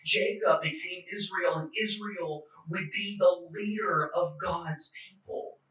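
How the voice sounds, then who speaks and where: Male clergy speaker, fairly high bright voice, slight stutter, measured phrasing, personal testimony sermon delivered in a roomy space. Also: steady tone. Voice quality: stutter, occasional broken fluency. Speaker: clergy member giving a talk. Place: echoey indoor church forum space. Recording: echoey room, distant microphone.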